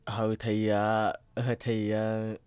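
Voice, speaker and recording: A man voicing a gentle, caring husband and father in a cartoon, soft-spoken and reassuring. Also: on the low side, completely flat delivery, extremely slow. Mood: hesitant. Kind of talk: animated story voice acting.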